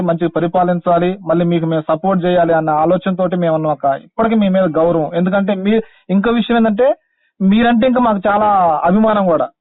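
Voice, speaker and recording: High-pitched pleading young Telangana man on phone. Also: no room sound. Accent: Telangana Telugu. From Khammam district, Telangana, India. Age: young adult. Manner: respectful, insistent, pleading. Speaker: caller, teacher-exam candidate. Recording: narrow, tinny phone line.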